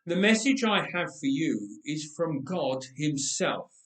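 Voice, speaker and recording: A man's voice: on the high side, very animated, fairly bright tone, full character voice. Male reader as haunted warrior assassin, resolute, tense, storybook telling, roomy church echo. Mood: firm. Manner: firm, halting when rattled. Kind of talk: storybook reading. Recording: echoey church, distant microphone.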